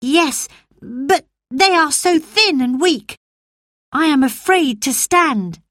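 Woman voicing frail young boy, high excited voice, wide swoops, dry close sound. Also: slow pace, no room sound, exaggerated voice. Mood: anxious. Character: sickly young boy, eager and demanding. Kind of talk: storybook character voice.

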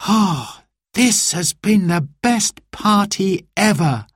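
An eager, cheerful young boy, as a storyteller puts him on, calling out in a high, lively voice. It is recorded close and dry. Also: slow pace, husky, no echo, full character voice. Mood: content. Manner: eager, bright exclamations.

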